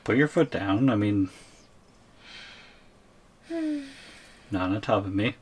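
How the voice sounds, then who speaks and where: A man's voice: lowish voice, huge pitch swings, a little slow, husky, full character voice. A man acting a tiny, shrunken captive boyfriend in an ASMR audio roleplay, reluctant and wary, with a hesitant, wry way of protesting. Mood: wry, resigned.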